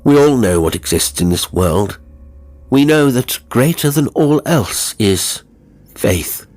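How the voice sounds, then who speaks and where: A man playing a stern, earnest English gentleman who speaks bluntly and emphatically in a British accent. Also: mid-range voice, animated, slow, dry recording, pitched above the performer's own voice.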